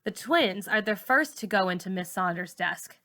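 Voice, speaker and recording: A woman reading a novel aloud as a teacher would, in expressive first-person story narration. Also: mid-range voice, moderate pace.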